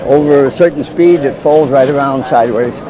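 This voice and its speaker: An older man who builds wind turbines, calmly explaining how they work to people at an outdoor fair, with plenty of uh's. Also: mid-range voice, moderate pace, a crowd in the background. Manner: plain, matter-of-fact explaining with frequent uh. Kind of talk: explaining to fairgoers. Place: outdoor fairground. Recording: noisy outdoor camcorder audio.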